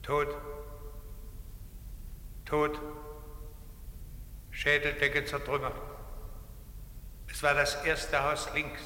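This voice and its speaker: In long, hollow reverb, a cold male witness testifies in a radio play. The voice is piercingly sharp, completely monotone and slow, clipped and deadpan. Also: on the high side, heavily acted. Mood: flat, chilling. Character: cold, toneless male witness reporting deaths in court. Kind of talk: German radio play acting. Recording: cavernous echoing reverb.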